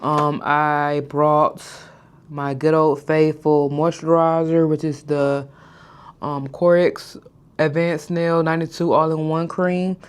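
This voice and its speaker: A woman in her thirties chatting casually to her followers in a skincare vlog. She stutters at times, mispronounces some words, and slows her speech down on purpose. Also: low voice, flat delivery, clear, resonant tone. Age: 30s. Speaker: vlogger. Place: hotel room, indoors.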